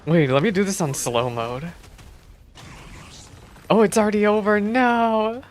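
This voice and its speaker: A game streamer giving casual, expressive, exclamatory live commentary while playing. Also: medium pitch, unhurried, a little hiss or hum. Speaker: streamer reacting to a game playthrough. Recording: game music behind the voice. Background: video game music.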